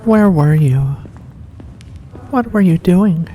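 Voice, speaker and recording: A performer voicing a mysterious, seductive vampire in a slow, deliberate ASMR roleplay, courteous and teasing. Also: medium pitch, warm tone, natural voice. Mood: eerie, hypnotic. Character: seductive mysterious vampire host luring in a guest. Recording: faint background noise.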